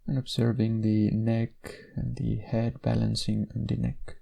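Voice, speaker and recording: A man leading a guided meditation in a measured, instructional way, with gentle pauses between phrases. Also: on the low side, flat delivery, vocal fry.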